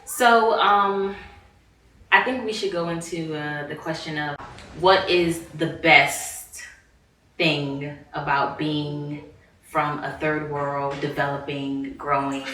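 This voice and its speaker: A woman's voice: low-pitched, fairly even intonation, slow pace, a bit bright, a little hiss or hum. A woman co-hosting a casual discussion, reflective, often using "like" as she talks. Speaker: podcast co-host. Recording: echoey room, far from mic.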